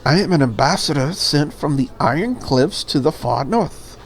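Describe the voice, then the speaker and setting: A man's voice: fairly high pitch, animated, moderate pace, a little hiss or hum. A man voicing a haughty, formal female dragon envoy in a visual novel, stately and commanding. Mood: imperious.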